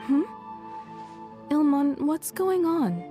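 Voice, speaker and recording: Gentle, higher-voiced young mother. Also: sing-song, slow, over music, full character voice. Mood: worried, soothing. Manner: polite, tender. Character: gentle, polite wife and mother, soothing and wistful. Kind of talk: video game character acting.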